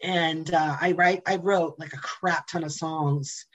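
Lowish-voiced woman telling candid stories. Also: a little slow. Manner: candid, animated storyteller who swears casually and laughs often. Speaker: interview guest, blues jam host. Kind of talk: casual storytelling interview.

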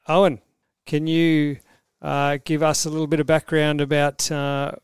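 A man's voice: fairly high pitch, completely flat delivery, measured pace, clean tone, no echo, studio quality. A man hosting a podcast interview, prompting guests with friendly questions. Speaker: podcast host.

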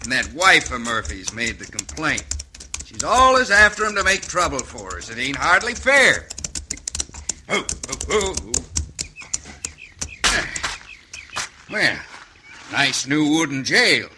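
An actor playing a cruel, sardonic Old West bandit boss in a radio western, with a folksy drawl and an American Western accent. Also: male voice, a little high, big pitch swings, measured pace. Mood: sly, mock-aggrieved. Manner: drawling mock-folksy cowboy talk, mockingly innocent. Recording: vintage radio recording, faint hiss.

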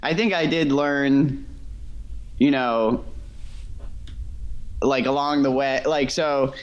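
A man's voice: medium pitch, steady tone, slow pace, smooth, low-quality recording. A young man from Massachusetts, a guest in a casual interview over a remote call, talking in a hesitant way with many fillers and restarts. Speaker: guest, ultra runner.

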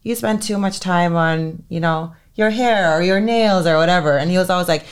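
A woman's voice: fairly low pitch, moderate pace, clear, resonant tone. A woman in her thirties from Finland, an entertainment lawyer talking as a podcast guest in a chatty way, full of "like" and "um". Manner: chatty, heavy use of "like" and "um" fillers. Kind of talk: casual interview chat.

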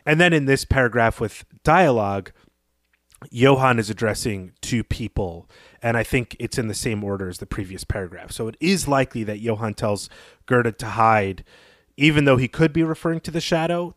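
A man co-hosting a podcast, talking through literary analysis in a conversational, measured and analytical way. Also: mid-range voice, no echo, clean studio sound. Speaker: podcast co-host.

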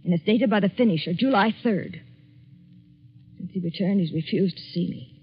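A man's voice: sits high, moderate pace, breathy edge, full character voice. A woman playing a despairing but resolute young woman who has been wronged, in a 1940s radio drama. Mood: hurt, bitter. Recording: dull, lo-fi 1940s radio recording.

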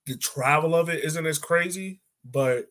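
A man's voice: a little high, unhurried, a bit bright. A man hosting a sports podcast in a casual, conversational way. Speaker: podcast host.

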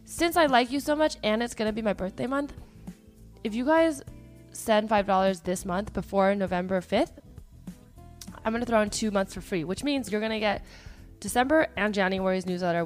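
A woman hosting a podcast in a casual, chatty way, her voice hoarse and partly lost after travel, with many fillers. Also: medium pitch, normal speed, soft background music. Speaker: podcast host.

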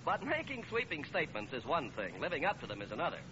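An actor as a polished American announcer, delivering scripted sponsor copy and narration in 1940s radio style. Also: male voice, on the high side, huge pitch swings, fast talker, background noise. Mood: wry narration. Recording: muffled old radio broadcast with loud music.

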